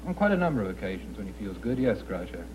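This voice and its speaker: A man's voice: on the low side, big pitch swings, quick pace, a little mellow, slight background noise, low-quality recording. A man from England with an English accent, answering a quiz host's questions politely and modestly. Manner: polite and understated. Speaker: contestant. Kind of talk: game show interview.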